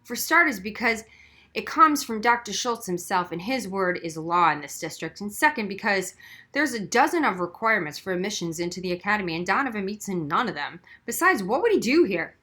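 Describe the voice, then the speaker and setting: A woman's voice: mid-range voice, very animated, brisk, pushed and bright. A woman reading the part of an earnest, resigned gifted schoolboy who reasons emphatically in an audiobook. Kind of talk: acted audiobook dialogue.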